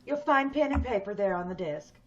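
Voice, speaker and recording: A woman playing a sinister, hideous schemer in an old-time radio horror play, speaking with measured, coolly polite menace. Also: medium pitch, lively intonation, close to an everyday voice. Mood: controlled, calculating. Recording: dull video-call radio play recording.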